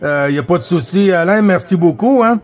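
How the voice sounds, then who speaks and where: Hesitant high-voiced Québécois man. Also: lively intonation, a little fast. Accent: Québécois French. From Quebec. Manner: hesitant, frequent filler sounds, friendly sign-offs. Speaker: CB radio operator. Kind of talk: casual radio contact chat.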